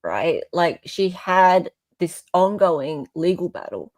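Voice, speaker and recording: A woman's voice: fairly low pitch, big pitch swings, takes it slowly, darkish tone, dry recording. An Australian woman hosting a live stream in casual, opinionated chat, often checking for agreement as she goes.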